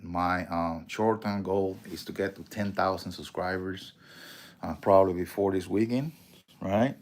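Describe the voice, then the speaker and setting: A man's voice: low-pitched, fairly even intonation, unhurried. A man with a strong Puerto Rican accent hosting a casual livestream, rambling, swearing freely and answering viewer comments. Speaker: livestream host.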